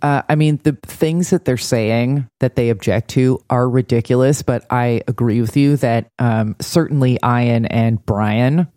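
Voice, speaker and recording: A woman's voice: a bass voice, a little slow, hardly any pauses, dark tone, no echo. A woman co-hosting a casual TV recap podcast with a dry, blunt, sardonic delivery. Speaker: podcast co-host.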